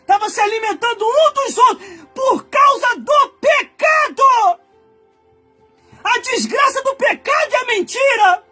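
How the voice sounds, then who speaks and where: High-pitched preaching man, shouting. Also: lively intonation, a little slow, faint music behind. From Brazil. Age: 40s. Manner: swings from low muttering asides to high shouted preaching. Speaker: pastor. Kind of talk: fiery Pentecostal-style sermon.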